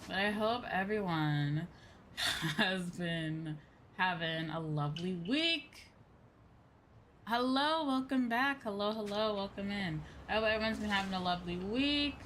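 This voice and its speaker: A woman's voice: medium pitch, lively intonation, a little slow, a bit bright, a little hiss or hum. A woman livestreamer chatting casually to her viewers in a rambling, repetitive way. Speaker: VTuber streamer. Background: background music.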